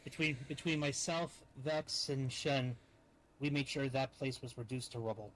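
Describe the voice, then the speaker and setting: Male, medium pitch, conversational roleplay, faint noise behind the voice. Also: normal speed. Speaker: roleplay participant. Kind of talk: improvised in-character roleplay chat. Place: online voice chat in a virtual world. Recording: faintly noisy online voice chat.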